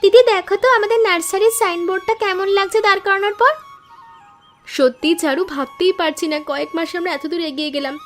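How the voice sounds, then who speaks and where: Woman as hardworking young sister, very high pitch, earnest, grateful, cartoon moral tale, faint music. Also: fast talker. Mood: hopeful, proud. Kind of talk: cartoon moral story voice acting. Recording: music under the voice.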